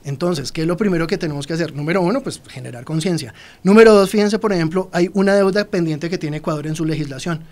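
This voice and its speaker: Un hombre colombiano con acento colombiano, invitado experto en una entrevista de radio, que explica con calma y se dirige directamente a los oyentes. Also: male voice, on the high side, fast, few pauses. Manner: explanatory, addresses listeners directly. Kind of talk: radio interview.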